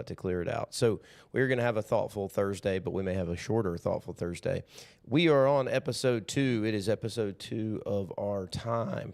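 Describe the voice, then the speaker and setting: A man hosting a podcast, talking casually with a hoarse, raspy voice and many fillers. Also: sits low, darkish tone. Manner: easygoing, rambling, many fillers. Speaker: podcast host. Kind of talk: casual motivational talk.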